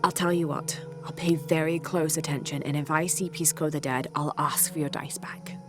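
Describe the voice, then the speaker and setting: An actress playing a calm, sympathetic young adventurer in a video game. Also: female voice, low voice, normal speed, music in the background, lightly acted. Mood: hushed.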